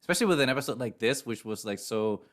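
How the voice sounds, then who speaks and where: A man in the middle of his range hosts a relaxed review chat. He talks in halting bursts, circling back and repeating words as he finds his point. His tone stays casual and conversational. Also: mid-range voice. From Chicago area. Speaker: podcast co-host.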